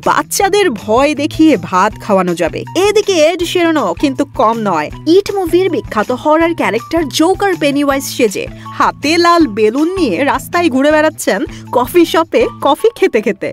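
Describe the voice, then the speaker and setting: A woman presenting scripted entertainment gossip in a chatty, playful, teasing way. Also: high voice. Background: music.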